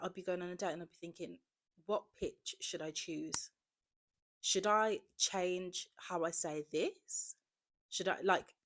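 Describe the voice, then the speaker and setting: A woman, talking candidly in a casual confessional monologue, often saying "like". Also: fairly low pitch. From Birmingham area, England. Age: 20s. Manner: candid, conversational, heavy use of "like". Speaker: podcast host.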